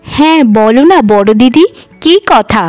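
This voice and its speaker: Casting: woman playing dutiful youngest cartoon daughter, high register, gentle and conciliatory, dry recording with faint music. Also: lively intonation, measured pace, dry recording. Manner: earnest, conciliatory. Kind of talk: cartoon voice acting.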